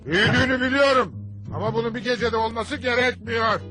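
A man's voice: very high voice, fairly quick, music in the background. A man playing a grumpy, stingy middle-aged father in a dubbed cartoon, scolding and sarcastic.

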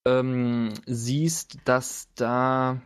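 A man's voice: mid-range voice, steady tone, clear, resonant tone. Joking man running a tabletop game. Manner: playful, joking, halting descriptions. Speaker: game master. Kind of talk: improvised tabletop game narration with casual chat.

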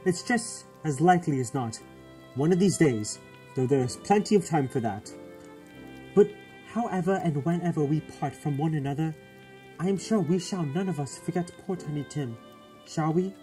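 A man plays a tender Victorian father in a radio play. His voice is fairly high and mellow, earnest and full of gentle grief. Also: expressive, normal speed, faint music behind, natural voice. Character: gentle grieving father of a poor Victorian family. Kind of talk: radio drama acting.

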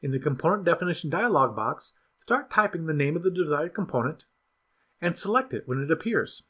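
A man voices a scripted product tutorial with a slightly raised pitch and a husky edge. His delivery is measured and instructional, with lively pitch movement that keeps each step clear. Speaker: product demo narrator. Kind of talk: scripted software tutorial voice-over.

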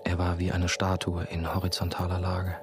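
A brooding man played by an actor tells his own story in a radio drama. The voice is low and deliberate, reflective in manner, with music playing clearly underneath. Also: monotone, lightly acted. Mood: subdued. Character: brooding male ex-novice, nursing-home worker, telling his story. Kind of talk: radio drama first-person narration. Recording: music behind the voice.

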